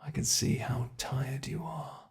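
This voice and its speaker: An ancient monster , acted out by a man, toys sadistically with human prey in an ASMR roleplay. The voice creaks with fry and takes its time over every threat. The delivery is theatrical and teasing, dropping into breathy, menacing whispers. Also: mid-range voice, steady tone, a little slow. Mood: savoring menace. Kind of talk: ASMR roleplay, scripted monologue.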